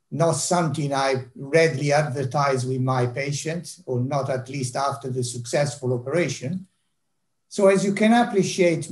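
Italian-accented surgeon on a video call. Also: male voice, fairly high pitch, a little mellow. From Italy. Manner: dry self-deprecating humour. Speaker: heart surgeon giving a talk. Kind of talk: presentation over video call. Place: home office with bookshelves.

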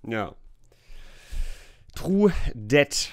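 A man's voice: on the high side, very animated, extremely slow, a little mellow, no echo, studio quality. A man co-hosting a podcast in casual banter, joking and teasing his partner and laughing often. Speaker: podcast co-host.

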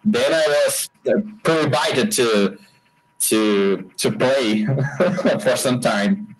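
A man's voice: mid-range voice, lively intonation, extremely slow, a crowd in the background, poor audio. A man from Brazil, Portuguese native, speaking English with a Brazilian accent as an interview guest, hesitant with many restarts. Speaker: interview guest, game designer. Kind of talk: casual interview over a call.

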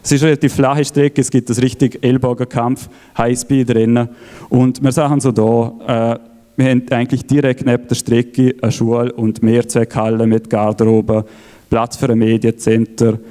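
Man, stage presenter, dark flat delivery, halting with fillers. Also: mid-range voice. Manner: halting, many fillers and restarts. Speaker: event organizer presenting. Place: event hall, on stage.

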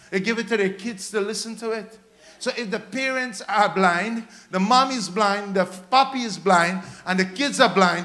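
A man's voice: high-pitched, sharp tone. A man in his thirties from Guyana with a Guyanese accent, preaching a sermon into a handheld microphone with emphatic, repetitive exhortation. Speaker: pastor preaching. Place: church stage, indoors.

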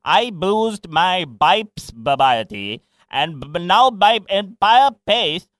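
A man's voice: high-pitched, big pitch swings, a bit bright, dry recording, exaggerated voice. A man with a Sri Lankan accent voicing an anxious prank caller with a swollen face, slurred and stammering. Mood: confused, halting. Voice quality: slurred, tongue-tied speech. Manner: stammering, repetitive, halting.

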